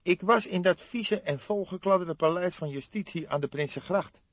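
A man voicing a scrawny, excitable café owner from the underworld in an audiobook, talking emphatic street slang. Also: high-pitched, moderate pace, dry recording, voice pushed up. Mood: indignant. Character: scrawny indignant small-time underworld café owner, male. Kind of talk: audiobook character acting. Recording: slightly dull, dry studio recording.

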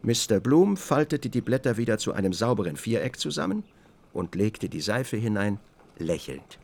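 A middle-aged man narrating a literary radio drama in a measured, deliberate storytelling manner. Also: fairly low pitch, very animated, gravelly, a little mellow.

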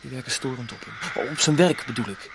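A man's voice: medium pitch, a little fast, creaky, a little hiss or hum, lightly acted. An actor playing an evasive young village man in a radio play, hesitant and defensive as he stalls.

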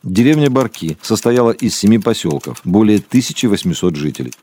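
A man reading scripted documentary narration for a radio play in a formal, measured, matter-of-fact way. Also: on the low side, expressive, darkish tone, slightly rough.

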